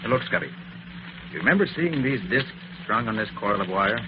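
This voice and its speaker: An actor playing a quick-witted, decisive male detective in an old radio drama. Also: on the low side, very animated. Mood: excited. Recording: muffled, noisy old radio recording.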